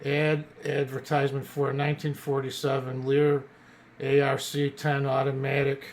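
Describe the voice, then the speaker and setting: A man casually presenting an old radio as a collector, partly reading from notes in a halting way and stumbling over words. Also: medium pitch, monotone.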